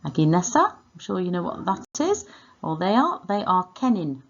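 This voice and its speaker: Low-voiced Welsh woman teaching. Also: sing-song, moderate pace, muffled recording. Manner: instructional, enunciating words and repeating them. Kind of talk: scripted online language lesson.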